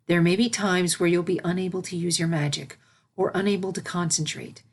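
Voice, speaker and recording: A woman voicing a patient, gentle royal pony princess who teaches and consoles her pupil in a storybook reading. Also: lowish voice, fairly even intonation, breathy edge. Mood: firm, instructive. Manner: measured, instructive, consoling.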